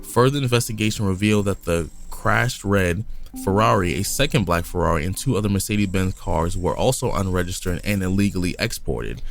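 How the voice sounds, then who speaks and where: A man's voice: low voice, a little fast, vocal fry, soft background music. A man co-hosting a podcast, reading a crime story from notes in a casual way, sometimes stumbling and restarting. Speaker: podcast co-host. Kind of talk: reading a case aloud in casual chat.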